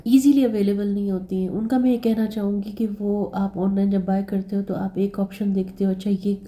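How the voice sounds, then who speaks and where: Dark-voiced hoarse woman vlogger. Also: medium pitch. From Pakistan. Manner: chatty, repeats words, mixes in English phrases. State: hoarse, sore throat. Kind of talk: casual vlog narration.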